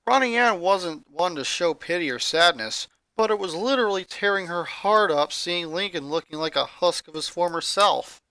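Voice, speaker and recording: Someone reading fanfic narration, mid pitch, bright sound, no room echo. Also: animated, normal speed, few pauses. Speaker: story reader. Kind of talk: scripted narration of fan fiction.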